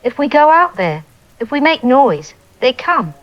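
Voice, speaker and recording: A woman playing a frightened, weary survivor calling in to a newscast, speaking in halting fragments over a phone line. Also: high voice, big pitch swings. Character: frightened, exhausted woman survivor trapped by zombies. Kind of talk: phone call to news, audio drama. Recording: dull phone-line sound, rain behind.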